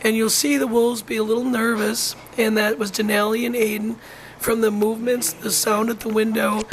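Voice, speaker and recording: A wolf center educator narrates in an informal voice-over, their voice rough and nearly gone. The delivery is halting and full of ums and false starts, with little rise and fall in pitch. A faint hiss sits under the voice. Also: level tone, poor audio. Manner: halting, frequent ums and restarts. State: hoarse, losing voice.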